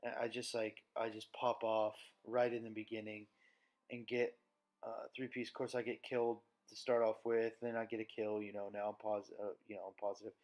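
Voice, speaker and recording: A man's voice: on the low side, flat delivery, fairly quick, a little mellow, dull audio. A man hosting a gaming video with casual, rambling commentary, sometimes muttering along with what is on screen.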